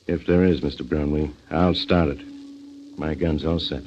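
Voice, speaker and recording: An actor playing a curt, sardonic, commanding police inspector in a 1940s radio drama. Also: male voice, extremely low pitch, fairly even intonation, moderate pace, soft background music. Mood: wary, low. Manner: curt, tough-talking, commanding. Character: gruff, sardonic, bossy male police inspector. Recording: dull, noisy 1940s radio recording.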